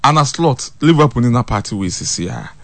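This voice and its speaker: A man, a radio co-host, bringing sports news in brisk banter. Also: medium pitch, expressive, creaky. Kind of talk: quick banter in Twi, Pidgin and English.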